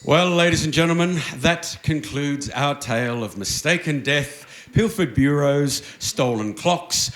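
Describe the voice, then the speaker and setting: A man's voice: on the high side, unhurried, hardly any pauses, fairly bright tone. A man hosting and narrating a radio play in theatrical scripted style before a theatre audience. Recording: roomy stage with noticeable background noise.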